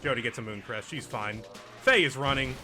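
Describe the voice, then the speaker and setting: Male game player and commentator, average pitch, casual running narration over audible music. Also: a little fast, bright, forceful tone.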